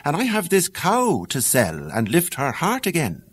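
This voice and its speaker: A man's voice: a little high, wildly swooping pitch, measured pace, slightly rough, exaggerated voice. A man doing an earnest Irish country lad. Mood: pleading. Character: simple, good-natured young Irish lad.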